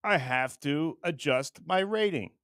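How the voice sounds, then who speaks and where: A middle-aged man with a fairly high voice chats loosely as a livestream host. He is blunt, crude and jokey, drifting from low muttering to loud bursts. The sound is close and dry. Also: animated, measured pace, no echo. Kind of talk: casual, rambling livestream chat.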